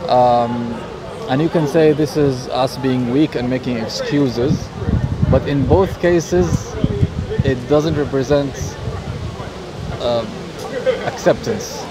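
A man's voice: mid-range voice, slow pace, low-quality recording. A man in his thirties debating outdoors in a park, speaking hesitantly with many fillers as he searches for words. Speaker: debate opponent. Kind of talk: public debate. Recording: loud crowd noise outdoors.

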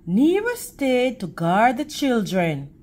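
A woman reading a picture book aloud to children in a deliberate, teacherly way, pausing to put questions to them. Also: a little high, wildly swooping pitch, a little mellow. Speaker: children's read-aloud host.

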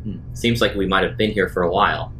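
A deep-voiced man plays in a tabletop roleplaying game, talking in character off the cuff. A faint rumbling noise sits behind his voice. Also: fast talker, fairly bright tone. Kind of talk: improvised in-character table talk.

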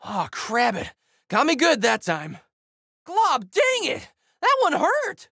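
Casting: goofy teen boy adventurer for a fighting game, high voice, wildly animated, brash and big-hearted. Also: slow, creaky, no echo, studio quality, exaggerated voice. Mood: frustrated. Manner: exuberant, slangy, emphatic delivery. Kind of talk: video game character battle lines.

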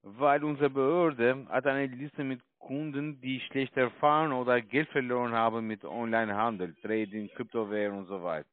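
Man, middle pitch, foreign accent, non-native, halting call centre pitch, thin telephone audio. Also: steady tone. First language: not German. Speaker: call centre caller posing as official. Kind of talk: scripted sales phone pitch. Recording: thin phone line.

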